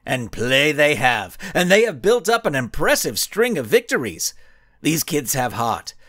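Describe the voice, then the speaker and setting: A cocky supervillain skull, as a storyteller puts him on, holding forth with a smug, showy air. His pitch swoops around a lot and sits a little high. The sound is close and dry. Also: big pitch swings, unhurried, fairly bright tone, no echo, exaggerated voice. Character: cocky, grandstanding male supervillain skull, smirking.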